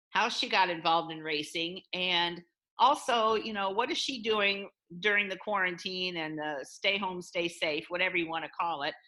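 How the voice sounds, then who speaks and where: A woman's voice: mid-range voice, sharp tone, poor audio. A woman from Michigan hosting a podcast interview, chatty and welcoming, talking over a remote call. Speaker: podcast host.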